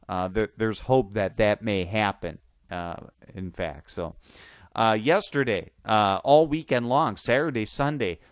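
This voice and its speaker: Hoarse man, halting football chatter. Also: fairly low pitch, no room sound. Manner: halting, many restarts and fillers. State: sore, hoarse voice. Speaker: sports podcast host. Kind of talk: casual football talk.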